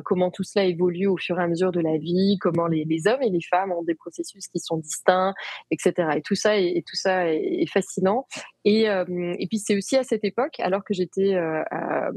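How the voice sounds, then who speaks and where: A woman's voice: fairly low pitch, a little mellow, slightly rough, no echo. A woman from France with a French accent, telling her story as an interview guest with many fillers and restarts. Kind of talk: conversational interview.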